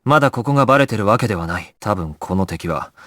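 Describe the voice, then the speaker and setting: Calm, commanding young gangster leader, close dry sound. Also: male voice, on the low side, quick pace, no echo. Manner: grave, decisive, clipped orders. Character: calm, commanding male gangster squad leader in an anime game. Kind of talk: dramatic anime video game voice acting.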